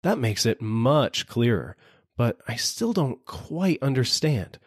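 Here is a man performing a small, sly storybook jackal who feigns puzzled, apologetic slowness. The pitch lifts and swoops in an animated way, and the voice is slightly husky. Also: no echo, clean studio sound, exaggerated voice. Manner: vacant, apologetic, exaggeratedly puzzled. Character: sly little jackal feigning slow-witted politeness.

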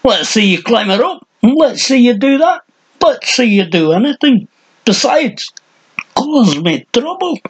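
Cranky old hermit, high-pitched and strident, ranting and husky. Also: male voice, sing-song, a little slow, full character voice. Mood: angry ranting. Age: older. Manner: shrill, ranting, muttering. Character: cranky old hermit man, thin and hunched.

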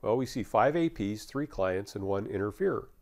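An American man in his fifties working as a technical trainer, explaining a software demonstration step by step in a conversational way. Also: on the low side, animated, moderate pace.

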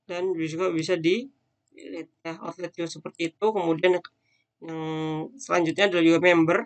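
A man's voice: a little high, flat delivery, moderate pace. Man thinking aloud through a coding demo. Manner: casual, thinking aloud with fillers while demonstrating. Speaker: teacher. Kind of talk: screen-recorded coding tutorial. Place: at a computer, voice-over on screen recording.

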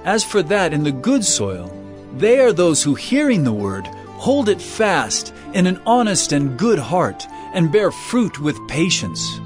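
An earnest teacher of authority in an audio Bible drama. His fairly high male voice rises and falls expressively, solemn and deliberate, over prominent music. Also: wildly swooping pitch. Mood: earnest teaching.

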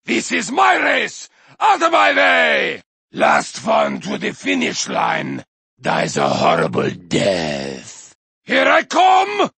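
An actor playing a crazed, bloodthirsty male Viking warrior in a racing game, shouting gravelly taunts and battle cries with manic cackles. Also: high voice, huge pitch swings, slow, no room sound, exaggerated voice. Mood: menacing growl. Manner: boastful, taunting battle cries, sudden drops to a menacing growl. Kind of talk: video game character voice lines.

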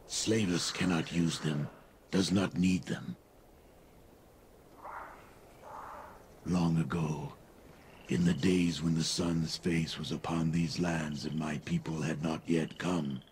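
Slow, low lizard-man tribesman. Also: a little mellow, a little hiss or hum. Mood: solemn storytelling. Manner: slow, solemn, halting broken-English delivery with breathy pauses. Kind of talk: video game character dialogue. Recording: game dialogue over music. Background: music.

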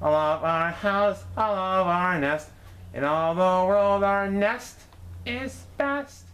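A woman voicing an earnest, excitable male bird, a devoted husband in a storybook, very expressively. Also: medium pitch, takes it slowly, voice pushed up, rough recording. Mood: joyful singing.

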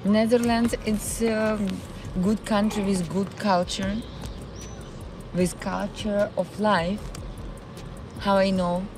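A woman with a Ukrainian accent talks slowly and unhurriedly into her phone, stopping often to search for words as she chats with her viewers. She is streaming outdoors in a city park. Clear outdoor noise runs behind her voice. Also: mid-range voice, flat delivery. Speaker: live-streaming vlogger. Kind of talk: casual live stream chat with viewers.

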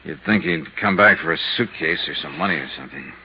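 An actor playing a cool, shrewd insurance investigator in a 1950s radio drama, terse, measured and matter-of-fact. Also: male voice, a bass voice, slight background noise. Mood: cool, persuasive. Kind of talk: 1950s radio drama, hard-boiled narration and dialogue. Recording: muffled 1950s radio recording.

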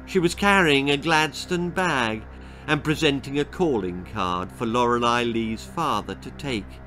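A man's voice: medium pitch, measured pace, sharp tone, faint music behind. An older man in his sixties or beyond with an English accent, narrating a children's story expressively and with dramatic relish. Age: 60s or older. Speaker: author reading his story. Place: small book-lined room indoors.

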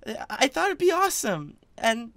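A man playing an excitable, doting devil in a roleplay audio, stammering and gushing with awkward, playful affection. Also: extremely high pitch, moderate pace, no echo, studio quality, heavily acted. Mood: giddy, playful. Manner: stammering, gushing, swings from gentle to manic.

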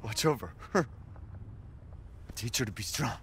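An actor playing an exhausted, dying young father in a video game, tender and resigned, with a weak, breathy voice. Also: medium pitch, animated, measured pace, fairly bright tone. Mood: tender, failing. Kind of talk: dramatic video game cutscene acting. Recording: outdoor ambience noise.

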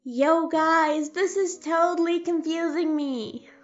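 Woman voicing a high-pitched young monster kid. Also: fairly even intonation, takes it slowly, faint music behind. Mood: curious, confused. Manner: slangy, hesitant. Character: young monster kid, casual, eager but unsure sidekick. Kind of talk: fan audio drama acting. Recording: dull lo-fi microphone.

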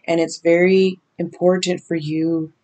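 A woman in her thirties, raspy from lack of sleep, giving a slow, emphatic tarot reading to the camera in a small bedroom. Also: on the low side, level tone, mellow. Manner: slow, emphatic, drawn-out warnings. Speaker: tarot reader. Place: small decorated bedroom indoors.

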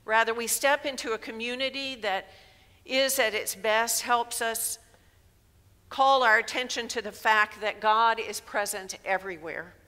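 A woman in her sixties preaching a sermon in a church sanctuary through a headset mic, measured and emphatic. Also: on the high side, bright, forceful tone. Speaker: pastor. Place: large church sanctuary, indoors. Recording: long reverb of a church hall.

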